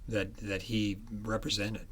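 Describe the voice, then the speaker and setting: A man speaking as an author in a conversational interview about his book, halting as he searches for words. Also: fairly low pitch, flat delivery, fairly bright tone.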